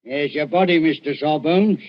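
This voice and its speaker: An actor playing a big, menacing, impatient grave robber with rough speech in a radio horror drama. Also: male voice, fairly high pitch, moderate pace, natural voice. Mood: surly, menacing. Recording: dull lo-fi radio recording.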